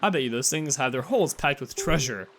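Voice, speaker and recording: A chatty fish from a video game hands out tips and wants more bait before it will repeat them, read out by a man. It sounds brisk and matter-of-fact. Game music plays clearly behind. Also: mid-range voice, lively intonation, lightly acted.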